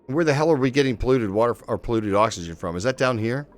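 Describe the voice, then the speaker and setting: A man's voice: medium pitch, fast. A man live-streaming a game, chatting casually with viewers and swearing freely as he plays. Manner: excitable, swears casually, talks to chat.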